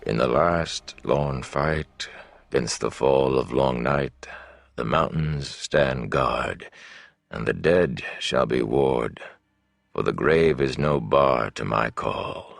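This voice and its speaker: A man voicing a smooth, shrewd traveling storyteller with a measured, theatrical delivery in a fantasy audiobook. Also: extremely low pitch, dry recording, clean studio sound, pitched below the performer's own voice, heavily acted. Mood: solemn, hushed. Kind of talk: fantasy audiobook character dialogue.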